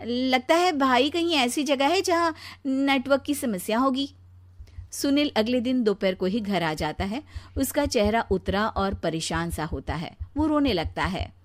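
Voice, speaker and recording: A woman's voice: fairly high pitch, animated, unhurried. A woman narrating a scripted cartoon story as the storyteller.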